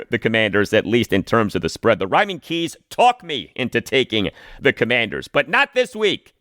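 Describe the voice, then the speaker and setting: A man hosting a sports podcast, giving scripted commentary and predictions with emphatic, deliberate phrasing. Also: medium pitch, fairly bright tone, no room sound, clean studio sound.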